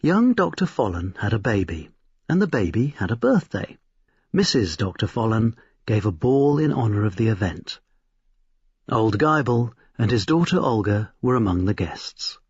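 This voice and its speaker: A man reading a short story aloud in an expressive, scripted storytelling style. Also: lowish voice, normal speed, slightly rough. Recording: roomy, slightly dull recording.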